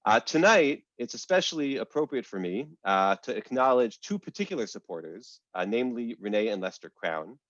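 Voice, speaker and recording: A man hosting and introducing guests over a video call, speaking in a measured way with frequent "uh" fillers. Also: on the low side, dry recording. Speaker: academic host and moderator. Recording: dull, lo-fi video call audio.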